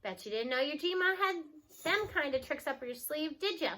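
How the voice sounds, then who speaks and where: A woman's voice: high voice, animated, moderate pace, sharp tone. A woman voicing a spry, folksy grandmother in a children's storybook, frank and affectionate in a colloquial way. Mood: upbeat, encouraging.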